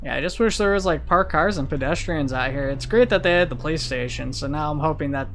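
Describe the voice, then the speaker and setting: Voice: mid-range voice, fast, fairly bright tone. Rambling gamer over sirens and engine noise. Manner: rambling, thinking aloud. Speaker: video game streamer and host. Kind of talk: casual gameplay commentary. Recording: vehicle and siren noise behind voice. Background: game sirens and vehicle sounds.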